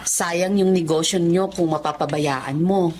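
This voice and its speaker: A woman's voice: fairly low pitch, fairly even intonation, unhurried, darkish tone, a little hiss or hum, natural voice. A woman with a Filipino accent playing a concerned, exasperated friend in a radio drama, counselling earnestly. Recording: music underneath.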